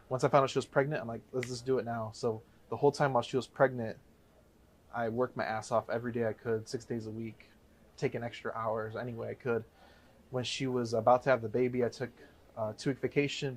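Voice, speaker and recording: A man's voice: mid-range voice, little pitch movement, brisk. A man from the Chicago area in his thirties, a guest telling his story in a casual interview, rambling and correcting himself as he goes. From Chicago area, USA. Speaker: guest, real estate investor.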